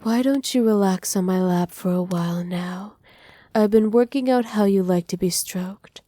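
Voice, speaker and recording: A woman playing a doting, possessive witch in an ASMR roleplay, speaking softly with teasing, condescending affection. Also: medium pitch, moderate pace, dry recording, natural voice. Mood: soft, coaxing. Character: doting, possessive witch mistress pampering her captive pet. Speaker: voice actor.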